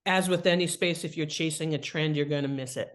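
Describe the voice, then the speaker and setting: A woman's voice: sits low, fairly even intonation, fairly quick. A woman talks as an expert guest on an interview show. She explains things conversationally, like someone giving practical advice, and leans on her key words. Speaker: guest author and writing expert.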